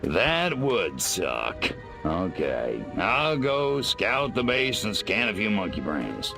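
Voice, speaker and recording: A cocky alien invader for a video game. He drawls slowly and sardonically, with wildly swinging intonation over music. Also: fairly low pitch, huge pitch swings, gravelly, heavily acted. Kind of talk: video game cutscene acting.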